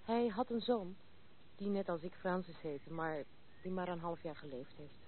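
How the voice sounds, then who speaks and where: A woman's voice: on the low side, lively intonation, moderate pace, natural voice. An actress playing a proud, blunt, tomboyish young noblewoman in a Dutch radio play, frank and self-assured. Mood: candid, reminiscing. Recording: tinny, band-limited, noisy old radio recording.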